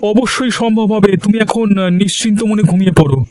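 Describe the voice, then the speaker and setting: A man's voice: sits high, a little fast, darkish tone, natural voice. A man voicing a reassuring, resolute village husband in a cartoon. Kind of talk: cartoon voice acting.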